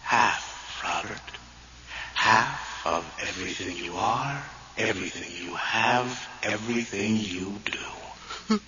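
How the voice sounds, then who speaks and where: An actor playing the mocking, sinister spirit of a dead twin brother in a radio horror drama, whispery and drawn-out. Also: male voice, fairly low pitch, roomy sound. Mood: gloating, menacing. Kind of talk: vintage-style radio horror acting. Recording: noisy, muffled vintage radio drama tape.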